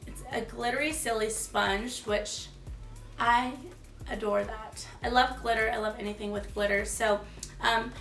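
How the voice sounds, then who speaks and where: Hoarse young woman, chatty beauty review. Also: a little high, fairly bright tone, distant mic. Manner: chatty and enthusiastic, many fillers. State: hoarse, losing her voice. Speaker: beauty vlogger. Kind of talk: casual product review. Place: small room, plain wall. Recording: echoey room, slightly off-mic.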